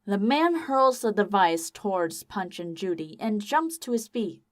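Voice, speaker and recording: A woman's voice: mid-range voice, sing-song, unhurried. A woman narrating a scripted audio drama as storyteller.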